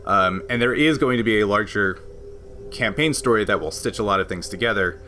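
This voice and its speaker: Bright-voiced man explaining game rules. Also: fairly low pitch, brisk, slight background noise. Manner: explains at length, laughs often. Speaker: game master and podcast host. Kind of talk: casual podcast explanation.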